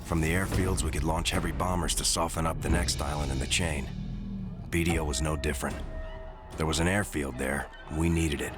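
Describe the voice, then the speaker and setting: An actor playing a Marine recounting his island campaign in measured, grave narration. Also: very deep voice, fairly even intonation. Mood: grave, reflective. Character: reflective American Marine veteran recounting the Pacific campaign. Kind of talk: scripted first-person war game narration. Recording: music under the narration.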